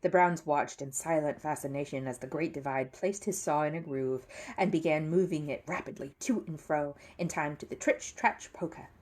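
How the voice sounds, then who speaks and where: A woman reading a children's story aloud as an expressive, animated narrator. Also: low-pitched, moderate pace. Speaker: storybook reader.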